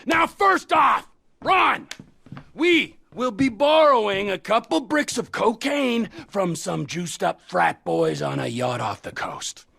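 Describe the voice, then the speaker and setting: An actor voicing a manic, foul-mouthed male crime boss who lurches from whispers to shouts while briefing a game heist. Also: high-pitched, very animated, slow pace, gravelly, heavily acted. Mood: scheming.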